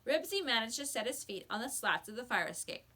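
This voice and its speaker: Woman, higher-pitched, bright clear-cut tone, expressive children's story narration. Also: fairly quick. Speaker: teacher reading a children's book aloud.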